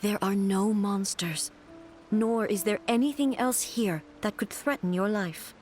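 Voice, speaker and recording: A woman voicing a serene, regal female ruler in a video game, speaking in a calm, measured, commanding way. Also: mid-range voice, soft background music, close to an everyday voice. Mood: soothing.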